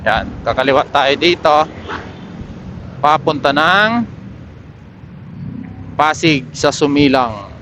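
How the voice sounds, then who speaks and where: Man vlogging over clear road noise. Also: a little high, expressive, takes it slowly, pauses often, sharp tone. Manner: unscripted running commentary. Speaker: motorcycle vlogger. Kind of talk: casual vlog chat while riding. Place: outdoors on a motorcycle in city streets. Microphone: helmet intercom microphone. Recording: helmet mic with clear traffic noise. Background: vehicles and outdoor street noise.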